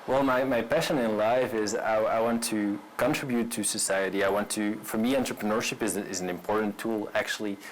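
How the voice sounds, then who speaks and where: A man in his late twenties speaks English with a Belgian accent in a somewhat low voice. He talks as an entrepreneur being interviewed, earnest and a little halting, doubling back on words as he explains himself. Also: steady tone, a little hiss or hum, low-quality recording. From Brussels, Belgium. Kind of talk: personal profile interview to camera.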